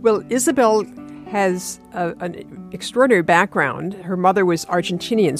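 Articulate low-voiced woman guitarist. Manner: articulate, thoughtful, measured. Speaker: classical guitarist, interview guest. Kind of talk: interview conversation.

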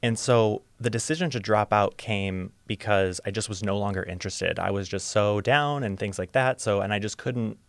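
Young man, from Ohio, podcast guest, reflective, slightly halting, low voice, dry close sound. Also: dry recording. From Ohio, USA. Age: young adult. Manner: open, reflective, a little halting. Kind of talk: casual interview storytelling.

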